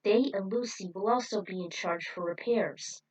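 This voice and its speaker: A woman's voice: medium pitch, moderate pace, darkish tone, distant mic, natural voice, low-quality recording. A woman voicing a decisive space squad leader in an adventure story, firm and authoritative. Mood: resolute.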